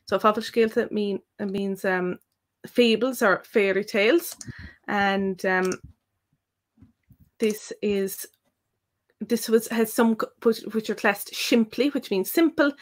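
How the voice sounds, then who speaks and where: An Irish woman co-hosting a live question-and-answer stream about learning the language. She talks in long, meandering explanations full of fillers, at a middle pitch. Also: slow pace, pauses often. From Ireland. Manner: thoughtful, rambling with many fillers. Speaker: Irish language tutor and co-host.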